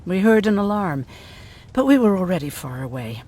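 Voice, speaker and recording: An actress playing a gruff, sardonic, world-weary woman medic who survived a prison camp, in a dramatic video game style. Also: on the low side, sing-song, moderate pace, mellow. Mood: grim recounting.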